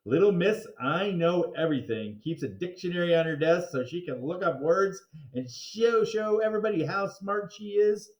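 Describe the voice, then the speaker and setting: A man giving an animated read-aloud of a children's chapter book, expressive, drawing out words and throwing in interjections. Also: high voice, normal speed, hardly any pauses. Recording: roomy, echoing sound.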